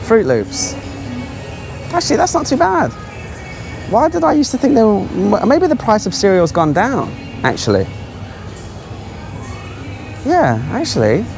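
A man's voice: high voice, wildly swooping pitch, a little slow, gravelly, long pauses, music in the background. A man giving casual, offhand, exclaiming commentary as a travel vlogger walking around a supermarket. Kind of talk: casual running vlog commentary. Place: large supermarket aisles.

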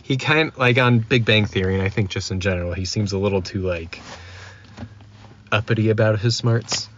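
A man talks casually as a guest on a podcast. His voice is fairly low. The sound is lo-fi and dull, with faint noise behind him. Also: normal speed. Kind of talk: casual chat.

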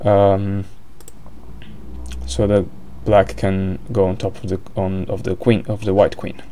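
A man's voice: deep voice, flat delivery, slow pace, mellow, a little hiss or hum. A man with an Italian accent commentating a board game live, hesitant, with many fillers and restarts.

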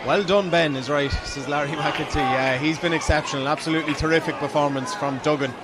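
Man, Irish accent, higher-pitched voice, live Gaelic football play-by-play, outdoor stadium, loud crowd noise. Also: fairly even intonation, fairly quick. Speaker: play-by-play sports commentator. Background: loud crowd and ground noise.

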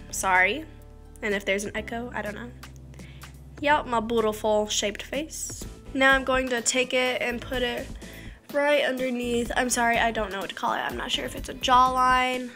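A woman's voice: a little high, steady tone, moderate pace, pushed and bright, soft background music. A teenage girl with a slightly scratchy voice, chatting casually and rambling through a makeup tutorial in her bedroom, often trailing off into mumbles and self-mocking jokes. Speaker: beauty vlogger. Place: small bedroom by a curtained window.

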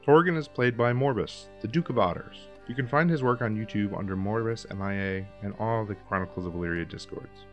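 A man's voice: fairly low pitch, a little fast, few pauses, lo-fi sound, faint music behind. A man reading scripted podcast credits as the show's producer.

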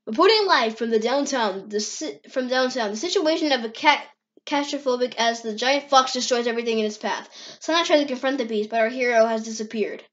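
High-pitched earnest news reporter, played by a woman. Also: a little fast, a bit bright, dull audio, lightly acted. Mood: urgent. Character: earnest television news reporter covering a disaster. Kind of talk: amateur comic dub, news report.

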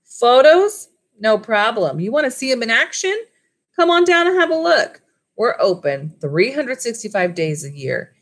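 A woman's voice: on the high side, big pitch swings, normal speed, pitched above the performer's own voice. Woman reading as blustering, brusque storybook businessman. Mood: eager, boastful. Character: Mac, gruff middle-aged male mall owner, blustering. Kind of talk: storybook read-aloud.

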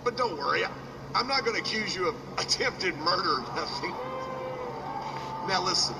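A man's voice: sits high, expressive, moderate pace, gravelly, background noise. An actor playing a smug, mocking male cowboy businessman in a video game cutscene. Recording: distant echoey game audio.

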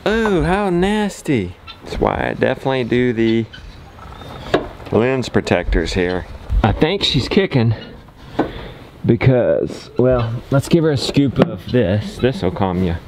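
A man's voice: mid-range voice, big pitch swings, takes it slowly, noisy background. A man in his thirties talking casually and encouragingly, a folksy father vlogging through farm chores. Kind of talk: casual vlog talk while doing farm chores.

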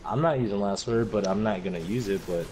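A man's voice: fairly low pitch, quick pace, noisy background. A man chatting casually while he plays an online match, laughing, stammering and trash-talking with teammates. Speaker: gamer streaming online matches. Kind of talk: casual gaming chat.

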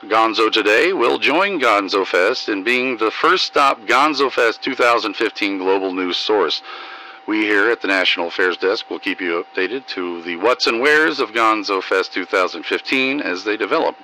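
Forceful low-voiced male host reading. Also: animated, moderate pace, slight background noise, poor audio. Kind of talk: scripted news narration and poem reading.

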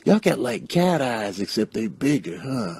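A man's voice: mid-range voice, very animated, measured pace, gravelly, darkish tone, breathy edge, no echo, full character voice. A man voicing a curious, chatty cat in a comic dub, rambling politely in slang. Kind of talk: comic animal voice-over dub.

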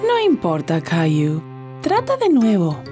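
A woman voicing a gentle, patient young mother in a children's cartoon, speaking encouragingly. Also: fairly low pitch, wildly swooping pitch, measured pace, over music.

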